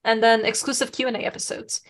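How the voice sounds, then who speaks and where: A woman's voice: a little high, level tone, fast. A woman whose first language is Serbo-Croatian, co-hosting a casual podcast, talking in a chatty way with many fillers and restarts. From Serbia. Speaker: podcast co-host.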